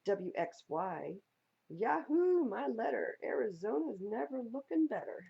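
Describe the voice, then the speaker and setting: A woman reading a picture book aloud at a library storytime in a playful, theatrical way, with a husky voice. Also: a little high, big pitch swings, measured pace, muffled recording. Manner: playful, theatrical, stresses and repeats words for young listeners. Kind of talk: expressive read-aloud of children's picture books.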